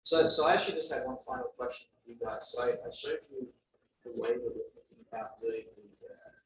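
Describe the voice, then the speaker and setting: A man's voice: medium pitch, fairly quick, bright, forceful tone. A man with a London accent, a guest presenter answering audience questions at a meeting, talking hesitantly with many restarts. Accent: London English. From London, England. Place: meeting room. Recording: echoey room, distant mic, faint hum.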